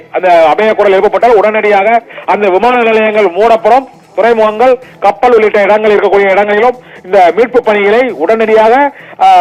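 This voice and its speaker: A man presenting live breaking news in a halting, repetitive, improvised delivery. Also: high voice, darkish tone. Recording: dull lo-fi broadcast with music behind. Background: background music.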